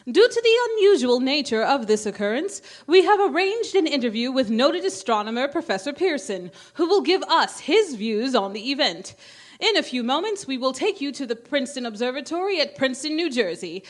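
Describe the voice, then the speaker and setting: A formal female radio news announcer of the 1930s, played by an actress, reading bulletins for a radio drama. Her voice sits high and moves with lively, swinging intonation. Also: a little fast. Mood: formal, urgent. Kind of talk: scripted radio bulletin in a stage radio play.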